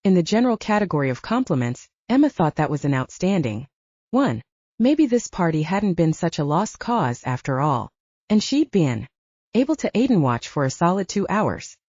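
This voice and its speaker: Expressive woman narrator, mellow and husky. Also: lowish voice, normal speed, no echo, highs cut off. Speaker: audiobook narrator. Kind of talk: scripted fiction narration.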